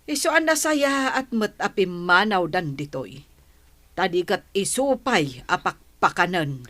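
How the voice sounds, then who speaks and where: A woman's voice: fairly low pitch, very animated, measured pace, fairly bright tone. A woman acting a blunt middle-aged housewife and in-law in a radio drama, complaining and scolding. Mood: indignant, complaining.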